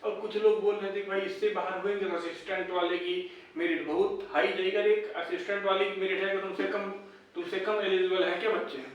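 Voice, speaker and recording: A man's voice: high-pitched, little pitch movement, fairly quick, muffled recording, slight background noise. A man, giving informal, blunt, argumentative commentary as an online host, full of rhetorical questions. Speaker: YouTube exam-update host. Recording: echoey room, distant mic.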